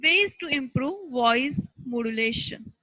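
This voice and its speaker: Female instructor, Indian accent, slow and deliberate scripted lesson read, close dry sound. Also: high voice, animated, bright, forceful tone, no room sound, rough recording. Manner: deliberate, halting phrasing in short chunks.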